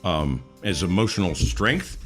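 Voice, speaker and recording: Deep-voiced man thinking aloud, halting. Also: animated, soft background music. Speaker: podcast cast member, tabletop RPG player. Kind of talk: casual chat, describing his game character.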